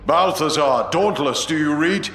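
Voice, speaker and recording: A man's voice: on the high side, measured pace, gravelly, a bit bright. An actor playing a confident male chapter master who gives firm commands. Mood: commanding. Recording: echoey room, long reverb.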